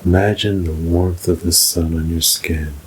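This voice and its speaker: A man narrating a scripted guided meditation in a soothing, measured, unhurried way. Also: deep voice, fairly even intonation, slight background noise, low-quality recording.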